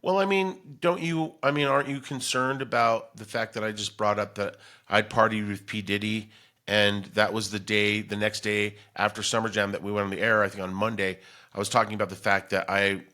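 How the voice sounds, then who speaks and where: Bright-voiced man bantering casually. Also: fairly low pitch, fairly even intonation, brisk. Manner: jokey, exclamatory. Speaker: podcast host.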